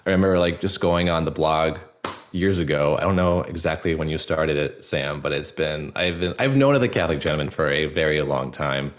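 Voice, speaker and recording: A man speaking casually as a podcast guest, halting and informal, with an enthusiastic manner. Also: rumbling low, slight background noise. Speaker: podcast guest, actor and audio drama producer. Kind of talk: casual interview chat.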